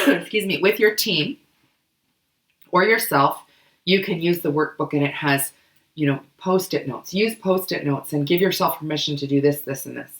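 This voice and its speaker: Casual podcast co-host, a woman with a cold-roughened voice, a bit low, recorded in a roomy space. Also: animated, moderate pace, long pauses, fairly bright tone. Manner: chatty, loose, many fillers. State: hoarse from a cold, coughing. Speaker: book club podcast co-host. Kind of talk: casual explanatory chat.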